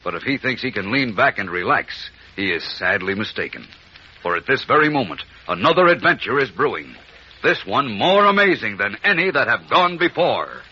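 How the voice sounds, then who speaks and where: A man narrating a serial as announcer in dramatic 1940s radio style, with a husky edge. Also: medium pitch, big pitch swings, normal speed. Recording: muffled old radio recording.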